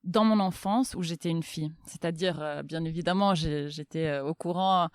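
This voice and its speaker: Smooth-voiced woman, hesitant and thoughtful. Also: low-pitched, normal speed, no room sound, studio quality. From Milan, Italy. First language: Italian. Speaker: interview guest, development bank inclusion officer. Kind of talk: casual, thoughtful interview answers.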